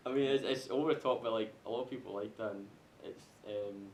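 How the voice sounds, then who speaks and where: A man's voice: fast talker, a little mellow. A man with a Scottish accent talking casually in a group podcast discussion. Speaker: podcast panelist. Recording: echoey room with faint rumbling noise.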